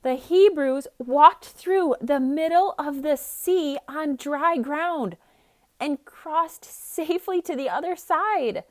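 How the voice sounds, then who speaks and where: A woman's voice: high-pitched, slow pace. Theatrical woman reading a children's storybook. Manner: expressive, dramatic, with hushed emphasis. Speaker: storyteller reading a picture book aloud for children. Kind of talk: theatrical read-aloud narration with casual asides.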